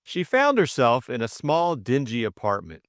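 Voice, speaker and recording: A man's voice: mid-range voice, huge pitch swings, normal speed, fairly bright tone, dry recording, studio quality. A man narrating a classic mystery novel as a scripted audiobook reading.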